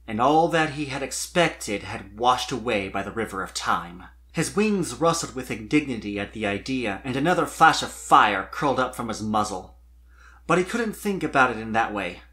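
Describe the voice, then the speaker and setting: A man reading a scripted story as narrator, in an expressive, wistful storybook manner. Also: mid-range voice. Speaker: fan fiction narrator.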